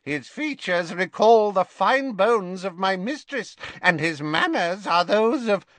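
Croaking, resentful old elf servant voiced by a man; high, strained timbre. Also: lively intonation, slow, a bit bright, no room sound, full character voice. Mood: sullen. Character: croaking surly old male house elf, resentful and servile.

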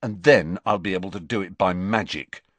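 A man's voice: low voice, normal speed, no room sound. A man playing a grumpy, naive teenage boy wizard in a storybook, blunt and grumbling.

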